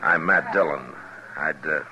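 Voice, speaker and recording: An actor playing a steady, wry, authoritative marshal in an old-time radio western. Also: male voice, rumbling low, a little slow, dull audio, crowd noise. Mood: calm authority. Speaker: radio drama lead actor. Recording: old radio drama recording.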